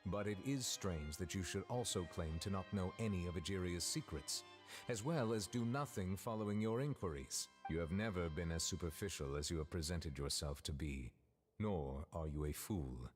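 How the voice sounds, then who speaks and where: An actor playing a stern, solemn adult male judge in a video game, speaking formally and in measured phrases. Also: sits low, natural voice. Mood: measured, reproachful. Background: orchestral game music.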